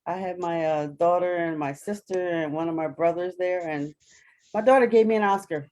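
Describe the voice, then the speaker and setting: A woman's voice: fairly low pitch, moderate pace, warm tone. A woman chatting casually as a guest cast member in an interview. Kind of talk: casual chat. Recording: clearly noisy recording with clicks.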